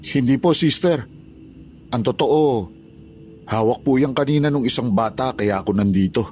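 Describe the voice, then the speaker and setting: A man's voice: medium pitch, moderate pace, a little mellow, a little hiss or hum, natural voice. A man playing a friendly, respectful orphanage night guard in a dramatized horror story, with a polite, hesitant manner. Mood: respectful, flustered.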